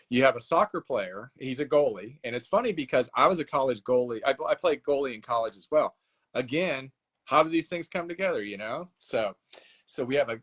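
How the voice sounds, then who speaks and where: Animated male author telling a story. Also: medium pitch, slightly rough. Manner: animated storyteller, acts out dialogue with laughs. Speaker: author guest. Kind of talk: casual interview chat.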